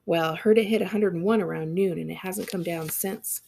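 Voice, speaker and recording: A woman's voice: on the low side, moderate pace, vocal fry, dark tone, breathy edge. A woman voicing a friendly, harried female office receptionist in an audiobook, with a casual, folksy, apologetic manner. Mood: casual, weary. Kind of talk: audiobook character voice.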